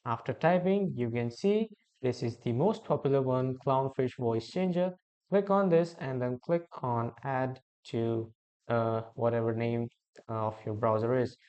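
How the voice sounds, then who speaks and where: A man hosting a software tutorial, giving step-by-step instructions and addressing viewers directly. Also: mid-range voice, a little slow, muffled recording. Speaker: tutorial host.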